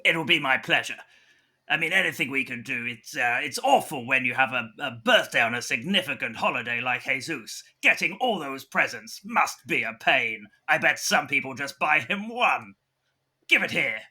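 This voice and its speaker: A man's voice: medium pitch, expressive, moderate pace, bright, forceful tone, husky, exaggerated voice. A man playing an eager, breezy, bumbling hospital medic in an improvised comedy roleplaying game.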